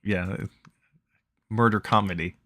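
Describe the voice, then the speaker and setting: Lowish-voiced man streaming a visual novel. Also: steady tone, slow pace, a bit bright, dry recording. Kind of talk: casual live stream chat and narrated read-aloud.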